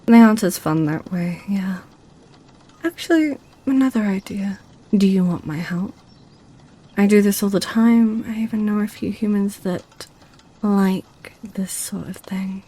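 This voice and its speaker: Breathy, slow, teasing succubus played by a woman. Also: mid-range voice, mellow, natural voice. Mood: curious, coaxing. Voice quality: breathy, whispery. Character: playful, teasing female succubus, seductive and possessive. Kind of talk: ASMR roleplay acting.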